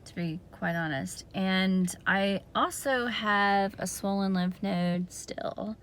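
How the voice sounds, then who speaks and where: Raspy hoarse lady rambling through a vlog update, slow and gravelly. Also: mid-range voice, fairly bright tone. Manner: hesitant and rambling, many fillers. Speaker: vlogger giving a health update. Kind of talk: casual video diary.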